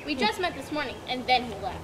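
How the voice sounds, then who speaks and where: A woman's voice: high-pitched, big pitch swings, quick pace. Woman reading blunt proud young woman, amateur reading, outdoor traffic. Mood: defiant. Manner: blunt, defiant. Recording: outdoor traffic noise behind. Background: traffic noise.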